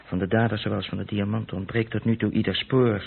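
A man's voice: on the low side, level tone, brisk, creaky, husky. An actor with a Netherlands Dutch accent playing a haulage company boss who thinks aloud alone in his office, in a radio play. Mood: curious, intent. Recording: muffled, noisy old radio play recording.

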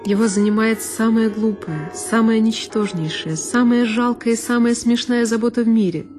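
A woman reading the part of a thoughtful, worldly man who speaks in measured words, in a radio play. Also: a little high, close to an everyday voice. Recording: long reverb with violin music.

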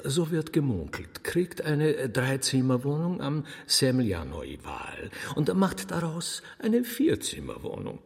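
An actor playing a sly, chatty demon magician in a radio drama, with an Austrian accent, a gravelly husky voice and theatrically courteous, rambling delivery. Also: male voice, mid-range voice, darkish tone, exaggerated voice. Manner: rambling, chuckling, theatrically courteous, drawn-out words. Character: sly, chatty demon magician, former choirmaster, showman servant. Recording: long hall reverb.

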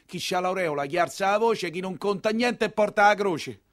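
A man's voice: high voice, normal speed, a bit bright, voice pushed up. An actor playing an anxious, plain-spoken nineteenth-century coachman with a Roman accent, in a theatrical storytelling monologue. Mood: resigned.

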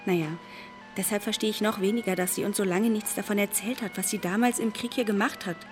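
Female radio drama lead actress, thoughtful granddaughter, musing delivery, mid pitch, light music underneath. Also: steady tone, quick pace, lightly acted. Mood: subdued, sad. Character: pensive granddaughter of a wartime codebreaking veteran. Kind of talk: radio drama acting and narration.

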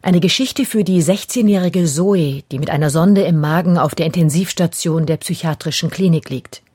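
A woman's voice: lowish voice, moderate pace, mellow, husky, no echo, studio quality. A woman announcer reading a scripted introduction to a radio play in a composed, formal way. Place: dry broadcast studio.

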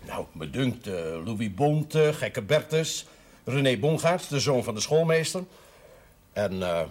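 An actor playing a wry, irreverent village priest with a Dutch accent in a television drama, teasing and ironic. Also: male voice, medium pitch, expressive, takes it slowly, darkish tone. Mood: playful. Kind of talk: naturalistic television drama acting. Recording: noisy old television soundtrack.

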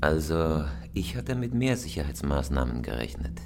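A man's voice: extremely low pitch, very animated, normal speed, gravelly, a little mellow, soft background music, full character voice. A man playing a cool, terse, hard-boiled criminal in a radio crime drama, laconic, guarded and dry. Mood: businesslike.

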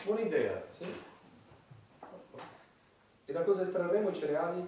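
A man's voice: high-pitched, expressive, normal speed, a little mellow. Pompous statesman in echoey hall. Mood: firm. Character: pompous, calculating male head of a warring government. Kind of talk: satirical stage acting. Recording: distant echoey hall, faint noise.

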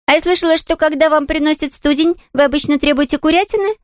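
A woman's voice: high voice, steady tone, fast talker, dry recording, studio quality, voice pushed up, natural voice. A woman voicing a polite, chatty, cheerful little girl in an audiobook, eager and artless. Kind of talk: audiobook character voice.

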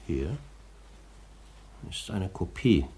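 An actor in a 1950s German radio drama playing a benevolent, firm older English gentleman with a measured, authoritative delivery. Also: low-pitched, very animated, breathy edge, exaggerated voice. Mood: firm, accusing.

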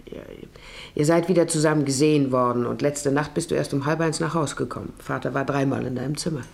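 An actress in a German radio drama playing an anxious, placating middle-aged farmer's wife, hesitant and soothing in manner. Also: female voice, a bass voice, quick pace, vocal fry, a little mellow. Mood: worried, hesitant.

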